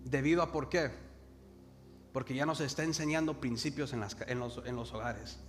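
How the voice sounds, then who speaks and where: A man's voice: mid-range voice, faint music behind. A young man from El Salvador with a Salvadoran Spanish accent, preaching a sermon and calling the congregation to repeat words after him. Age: young adult. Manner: calls the congregation to repeat words, slows for emphasis. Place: church hall. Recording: reverberant hall with faint noise.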